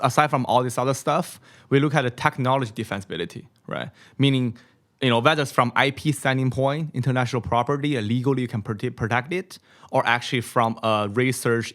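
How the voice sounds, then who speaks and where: A man's voice: medium pitch, a bit bright. A man in his thirties from China with a Mandarin accent, a guest on a podcast, talking casually and ending many phrases with "right?", close to a studio mic. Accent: Mandarin Chinese. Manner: tags sentences with "right?", many repeats. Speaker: venture capital guest. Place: small studio room, brick wall. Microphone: studio mic on boom, headphones.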